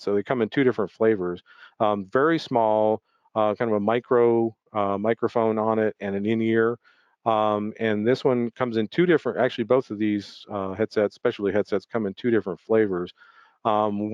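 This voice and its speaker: A man's voice: on the low side, a little mellow, no echo. A man giving an explanatory, unscripted product presentation in a webinar, hesitating often with ums and repeated words. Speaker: product presenter for an audio equipment maker. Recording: dull, lo-fi webinar audio.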